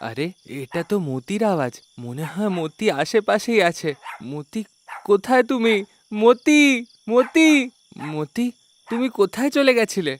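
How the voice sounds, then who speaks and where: A man's voice: high voice, expressive, a little slow, pauses often, a little hiss or hum. A man voicing an anxious, remorseful village husband in a cartoon, earnest and pleading. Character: remorseful, anxious village husband seeking his kidnapped wife. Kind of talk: cartoon character acting.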